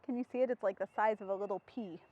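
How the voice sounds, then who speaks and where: A woman hosting an interactive children's storytime, expressive and full of questions for young listeners. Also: mid-range voice, racing, narrow, tinny audio, a little hiss or hum. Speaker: storytime host reading to children.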